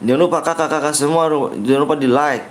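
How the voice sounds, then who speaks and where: A man's voice: a little high, steady tone, fast talker. A young man hosting a game livestream in a slightly raised voice. He reads chat aloud, jokes around playfully and keeps nudging viewers to like and subscribe, all in loose, casual talk. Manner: reads viewer comments aloud and banters, pushing likes and subscribes; playful joking persona. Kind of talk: casual live-stream chat.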